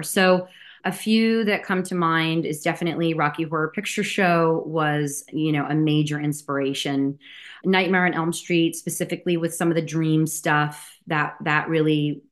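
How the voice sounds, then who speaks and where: Woman director-actress, lower pitch, chatty interviewee with fillers and restarts. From New Jersey. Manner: chatty, many fillers and restarts, laughs between thoughts. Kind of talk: casual interview answers.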